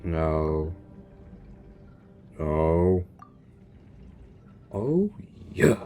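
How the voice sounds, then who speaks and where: A man's voice: extremely low pitch, very animated, drawn-out words, warm tone, lo-fi sound, a little hiss or hum. A man doing casual game reaction commentary, talking to himself expressively as he plays. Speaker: gaming video host. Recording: game music behind voice.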